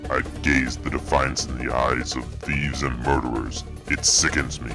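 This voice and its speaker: Give this ancient guardian an extremely deep voice. He is solemn, imposing and scornful, a stern warrior sentinel in a fantasy cartoon, with music clearly playing behind him. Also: level tone, unhurried. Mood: stern contempt. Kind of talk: animated fan-dub acting.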